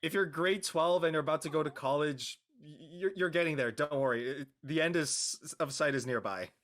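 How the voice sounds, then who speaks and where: A man's voice: on the high side, moderate pace, fairly bright tone. A young man chatting casually as a panelist. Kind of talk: casual chat.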